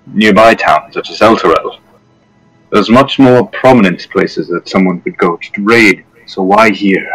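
A man's voice: deep voice, fairly bright tone, breathy edge, exaggerated voice, rough recording. A man voicing a polite, hesitant male town governor in a tabletop game. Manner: formal, hesitant, apologetic.

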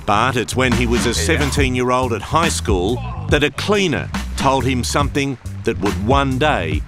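A male reporter reads a scripted current-affairs narration in a measured, polished delivery. His voice sits in a middle range, with music clearly audible beneath it. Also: medium pitch, animated.

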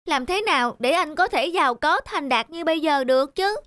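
A woman's voice: high-pitched, lively intonation, fairly quick, a bit bright. A woman voicing a poor, polite teenage shoeshine boy in a cartoon, earnest and youthful. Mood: incredulous, questioning. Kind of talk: cartoon character acting.

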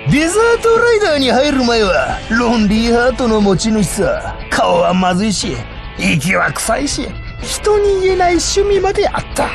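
A man's voice: very high-pitched, very animated, normal speed, creaky, over music, exaggerated voice. A man voicing a sentimental, melodramatic biker beast giving a parody commercial testimonial in a game. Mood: mock heartfelt.

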